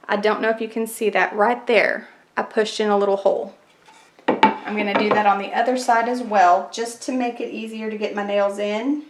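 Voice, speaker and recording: Woman, craft tutorial host, a bit hoarse from a cold, mid pitch, echoey room, faint clatter behind. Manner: chatty step-by-step explaining. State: fighting a cold, losing her voice.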